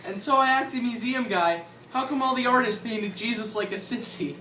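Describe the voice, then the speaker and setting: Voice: mid-range voice, normal speed. Informal memoir reader in an echoey room. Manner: informal, breaks off with asides and chuckles. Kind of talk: informal reading aloud from a comic memoir. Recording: roomy, distant mic, clear background noise.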